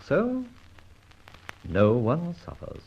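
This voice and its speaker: An urbane gentleman rogue in an old radio detective play. His delivery swoops up and down, wry and theatrical, with whimsical, mock-formal phrasing. The vintage recording is dull. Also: medium pitch, huge pitch swings, extremely slow, a little hiss or hum, heavily acted. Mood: wry, matter-of-fact. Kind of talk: old-time radio drama acting and first-person narration.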